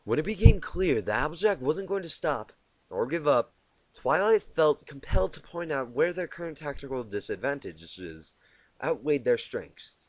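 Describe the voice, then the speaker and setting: Man reading a story aloud, lively intonation. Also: fairly high pitch, normal speed, no room sound, poor audio. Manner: informal, self-correcting. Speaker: amateur fan fiction narrator.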